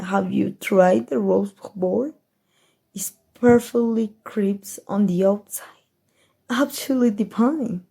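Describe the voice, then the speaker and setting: Woman playing a slow, breathy, scheming evil queen. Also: medium pitch, dark tone. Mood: indulgent, musing. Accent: Mexican. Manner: slow, measured, musing delivery addressed to a listener. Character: haughty, scheming evil queen, playfully condescending. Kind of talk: ASMR roleplay monologue.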